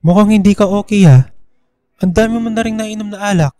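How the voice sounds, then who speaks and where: A caring young male friend played by a man in a radio drama. His voice is high for a man yet mellow, recorded dry and close. He speaks gently, with concern, ready to listen. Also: a little fast, dry recording, natural voice. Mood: concerned, gentle. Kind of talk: Filipino radio drama acting.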